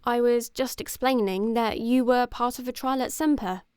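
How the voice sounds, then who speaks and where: An actress playing a composed, matter-of-fact woman, giving grim explanations in a measured way in an audio drama. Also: a little high, darkish tone, smooth, no room sound, lightly acted. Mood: matter-of-fact, grave. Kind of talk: scripted expository dialogue.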